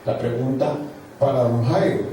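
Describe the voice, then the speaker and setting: A man's voice: mid-range voice, normal speed, warm tone. A male panel moderator, posing long formal questions to a guest. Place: large hall. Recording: echoey hall, far from mic, some noise.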